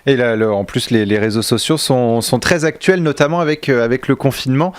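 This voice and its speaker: A man hosting a radio interview, putting his questions in a halting way with repeated words. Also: medium pitch, no echo.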